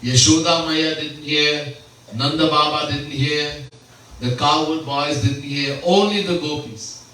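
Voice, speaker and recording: A man, a swami, giving a slow devotional sermon at a home gathering, repeating key phrases and mixing in Hindi terms. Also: on the high side, steady tone, bright, forceful tone. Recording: very echoey room, mic far off.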